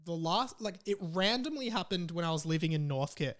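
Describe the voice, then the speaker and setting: A man's voice: on the high side, expressive, a little fast, fairly bright tone. A young adult man with an Australian accent, hosting a casual podcast in a rambling, jokey way. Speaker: podcast host. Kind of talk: casual solo podcast chat.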